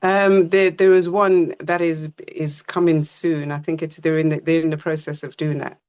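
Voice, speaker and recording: A woman from Britain, a stage actor, chatting as a guest in a relaxed, breezy way. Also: low voice, normal speed, clear, resonant tone, dull audio. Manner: relaxed, breezy, short plain answers. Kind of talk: casual interview chat.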